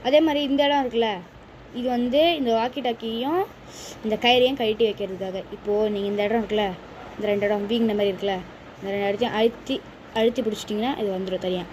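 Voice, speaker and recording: A woman's voice: fairly high pitch, fairly even intonation, fast talker, darkish tone, a little hiss or hum, rough recording. A woman chattily explaining a product step by step to viewers in a casual vlog. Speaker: vlogger presenting a product unboxing.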